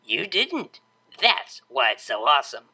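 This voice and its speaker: A cocky young unicorn DJ from a pony story, acted out by a narrator, bright in tone and lively in pitch. She drawls casually and teases with sly, playful confidence. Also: unhurried, husky, exaggerated voice. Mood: teasing. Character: cocky, playful slacker unicorn mare DJ, teasing.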